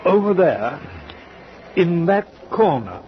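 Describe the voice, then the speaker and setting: A courteous, composed male sea captain in an old radio drama, with a fairly high, lively voice that rises and falls a lot. He speaks formally, pausing now and then. The recording sounds dull, with clear hiss behind him. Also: exaggerated voice. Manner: formal, courteous, matter-of-fact with small hesitations. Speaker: ship's captain. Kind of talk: 1940s radio acting.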